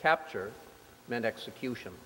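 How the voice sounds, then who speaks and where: A man's voice: mid-range voice, animated, normal speed, a bit bright, breathy edge. Man narrating solemnly in an echoing hall. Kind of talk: scripted dramatic narration. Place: large sanctuary hall before an audience. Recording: echoey hall with music behind.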